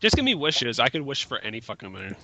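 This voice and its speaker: A man's voice: mid-range voice, huge pitch swings, quick pace, bright, forceful tone, no echo, rough recording. A man in casual group commentary, with a mocking, know-it-all way of talking.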